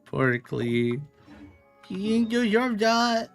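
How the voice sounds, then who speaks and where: A man streams a video game with casual, playful commentary. His voice sits fairly high and moves around a lot in pitch, breaking into exclamations as he talks to the characters. Music plays behind him. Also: very animated, drawn-out words.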